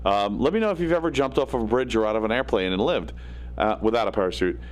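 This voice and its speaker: A man hosting a casual show from his home studio, with a gravelly, raspy, slightly nasal voice, rambling with plenty of fillers and self-deprecating asides. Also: fairly low pitch, lively intonation, fast talker. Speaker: host. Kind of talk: casual livestream talk. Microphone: studio microphone. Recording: faint hum in the electronics. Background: faint electrical hum.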